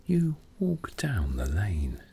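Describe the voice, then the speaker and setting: Deep, very slow soothing male meditation narrator. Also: wildly swooping pitch, vocal fry, mellow, slight background noise. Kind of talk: sleep meditation story narration, scripted.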